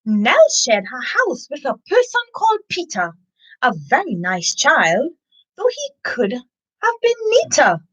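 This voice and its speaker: A woman presents a children's story time with a fairly high voice and very lively intonation. She takes her time, moving between chatty asides to listeners and expressive picture-book delivery. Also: slightly rough, low-quality recording. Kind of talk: live chat and expressive picture-book reading.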